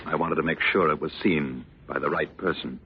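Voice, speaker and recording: A menacing, sardonic politician of old radio drama with a deep voice. He is theatrical and mockingly courteous, drawling his endearments. The recording is muffled, with clear background noise. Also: fairly even intonation, moderate pace. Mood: gloating. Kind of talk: 1940s radio drama acting.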